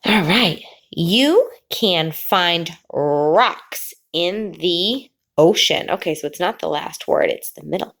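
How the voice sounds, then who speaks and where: A woman teacher reading a picture book aloud to children, pausing before key words and explaining the pictures. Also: lowish voice, lively intonation, vocal fry, fairly bright tone. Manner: slow, drawn-out reading with sing-song pauses before the last word.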